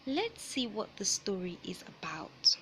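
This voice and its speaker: Bright-voiced woman reading aloud, slow pace. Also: medium pitch, very animated, in a whisper, poor audio. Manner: deliberate, explanatory, teacherly, repeating key points for young listeners. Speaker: storyteller reading a children's picture book aloud. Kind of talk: read-aloud storytelling with explanatory asides.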